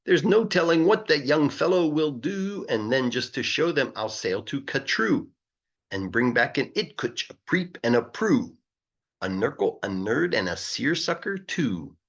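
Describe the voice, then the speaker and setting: Man reading rhymes, lively husky voice. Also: mid-range voice, unhurried, highs cut off. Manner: playful, emphatic delivery of verse. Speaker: English teacher reading aloud. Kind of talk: expressive read-aloud of a rhyming children's book.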